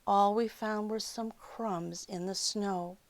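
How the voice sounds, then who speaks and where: A woman's voice: medium pitch, fairly even intonation, slow. A woman voicing a kindly father in a children's storybook, with an exclaiming, storytelling tone. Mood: exclaiming, resigned. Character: kindly storybook father talking to his family.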